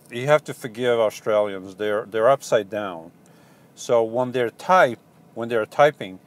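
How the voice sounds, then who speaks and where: A man with a Portuguese accent, a van-life vlogger casually answering viewer questions in a halting, rambling way full of ums and restarts. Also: medium pitch. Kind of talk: casual live Q&A chat.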